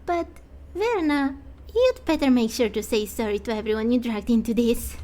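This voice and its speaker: A woman's voice: on the high side, lively intonation, moderate pace, mellow, natural voice. A woman voicing a small, sceptical sidekick in a fantasy game, cheeky and worried, with an exasperated, questioning manner.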